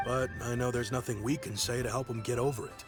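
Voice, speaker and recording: An actor playing a proud yet kindly merchant in a video game, speaking in a friendly, reflective, softly rueful way. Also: male voice, medium pitch, little pitch movement, fast talker, natural voice. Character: nostalgic grown-up male merchant, proud but kindly. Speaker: businessman. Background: game music.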